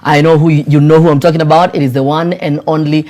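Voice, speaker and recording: A man hosting a show, giving a hype introduction and then asking questions in an energetic, emphatic way. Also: a little high, fast. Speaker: host.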